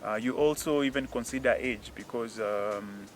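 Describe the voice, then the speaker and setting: A man explaining a technical process outdoors in a hesitant, measured way, with many fillers. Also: medium pitch, a little hiss or hum. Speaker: guest expert. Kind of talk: casual explanatory interview. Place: outdoors, windy.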